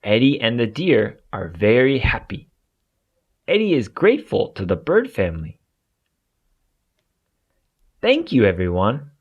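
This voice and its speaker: A man narrating a children's storybook aloud in an expressive way for young listeners. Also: medium pitch, normal speed, husky, dull audio.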